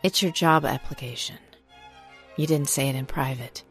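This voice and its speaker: A woman's voice: extremely low pitch, normal speed, soft background music, voice pushed down. A woman voicing a tense, pointed female job interviewer in a story. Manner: clipped, pointed.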